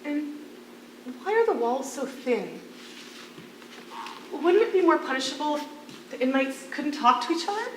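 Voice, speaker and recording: Idealistic jailed singer played by a woman, high voice, earnest and persistent, theatrical. Echoey stage. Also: animated, measured pace, long pauses. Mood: tentative, curious. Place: theatre stage, miked from a distance. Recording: echoey, slightly noisy distant stage recording.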